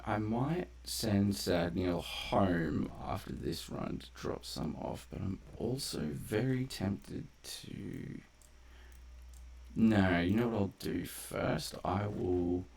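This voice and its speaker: A man with a very deep, creaky voice gives casual gameplay commentary. He talks slowly, in a rambling, conversational streamer's way. There is a faint noise in the recording. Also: darkish tone. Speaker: game streamer.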